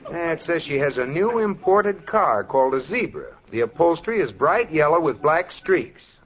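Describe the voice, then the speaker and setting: An actor playing an amiable, put-upon husband with a dry manner in a 1940s radio comedy. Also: male voice, fairly high pitch, very animated, normal speed, a bit bright. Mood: defensive, cheerful. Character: amiable, exasperated husband, dryly patient. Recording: dull old radio recording with studio audience.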